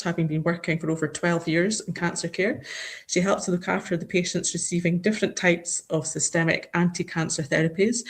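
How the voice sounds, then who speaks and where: A woman opening an online teaching session as host, introducing the speaker in a friendly, slightly scripted way over a video call. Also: lowish voice. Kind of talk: welcoming webinar introduction.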